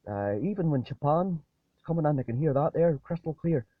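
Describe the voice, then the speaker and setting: Husky man over tinny radio. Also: a little high, animated, fairly quick, dry recording. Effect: two-way radio transmission. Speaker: amateur radio operator. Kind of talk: casual two-way radio chat. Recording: tinny narrowband ham radio link.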